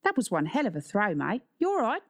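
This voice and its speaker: A woman's voice: on the high side, wildly swooping pitch, brisk, pitched above the performer's own voice, heavily acted. Woman voicing a breezy young Australian male coach. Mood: breezy, reassuring. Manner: breezy, slangy, commanding but friendly. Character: young Australian male sports teacher, stocky, breezy and matey.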